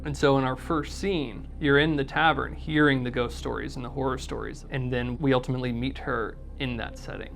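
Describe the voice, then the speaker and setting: A man's voice: mid-range voice, steady tone, a little fast, gravelly, few pauses, fairly bright tone. An American man from North Carolina giving interview commentary as an audio drama's creator. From North Carolina, United States.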